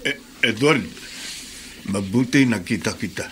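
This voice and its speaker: A man's voice: fairly low pitch, unhurried, loud music behind the voice, natural voice. An actor playing a humble, pleading middle-aged father, hesitant and earnest, in a Filipino radio drama.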